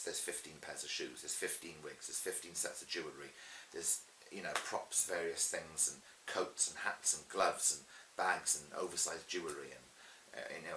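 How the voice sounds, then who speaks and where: A middle-aged man with a Welsh accent, chatting casually as an interviewed stage performer and telling stories in a lively, chatty way. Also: a bass voice. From Swansea, Wales. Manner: chatty, animated retelling with acted-out quotes. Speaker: pantomime dame and cabaret performer being interviewed. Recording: roomy echo with faint hiss.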